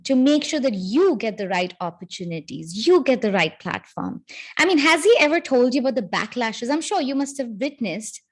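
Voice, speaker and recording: A woman hosting an interview, who is complimentary and speaks in full, reflective sentences. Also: fairly high pitch. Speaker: podcast host, interviewer. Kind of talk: casual conversational interview.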